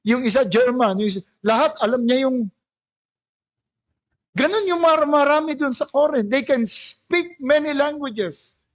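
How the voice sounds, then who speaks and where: A man with a Filipino accent preaching a sermon as a pastor, in deliberate, emphatic phrases with rhetorical questions. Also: very high voice, animated. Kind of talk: sermon mixing English and Tagalog.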